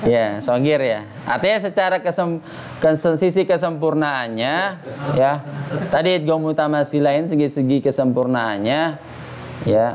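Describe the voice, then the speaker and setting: A man's voice: on the high side, normal speed, a little mellow. A man teaching a tajwid lesson informally and patiently, explaining, reciting short examples and checking with his listeners. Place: small study gathering in a private home.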